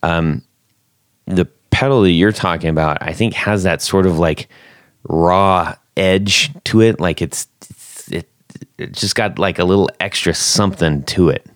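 A man hosting a podcast interview, chatting casually and loosely, often thinking aloud in halting phrases. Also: deep voice, no room sound, studio quality. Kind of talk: casual chat.

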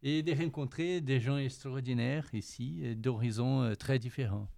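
Man, young adult, Brazilian Portuguese accent, gravelly voice, hesitant with lots of fillers. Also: medium pitch, moderate pace, mellow. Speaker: podcast columnist. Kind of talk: self-introduction in casual chat.

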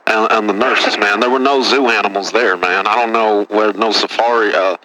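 A man's voice: lowish voice, fairly even intonation, normal speed, noisy background, close to an everyday voice. A man playing a boastful, touchy caller from Texas who says "man" after nearly every phrase, in a comedy radio bit. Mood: whiny, indignant. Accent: Texan.

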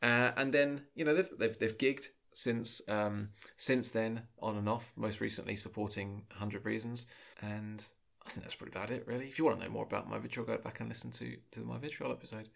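Gravelly male host, casual and chatty, a bit self-deprecating. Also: lowish voice, steady tone, a little fast, fairly bright tone. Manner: chatty, self-deprecating, many small restarts. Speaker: podcast host. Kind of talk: casual chat and interview questions.

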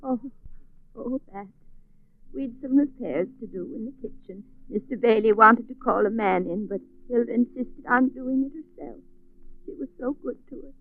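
An actress playing a prim, falsely sweet, cold-blooded wife with genteel, affectedly sensitive manners in 1940s radio drama style. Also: female voice, on the high side, normal speed, dry recording, natural voice. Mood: falsely mournful. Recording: muffled vintage 1940s radio recording.

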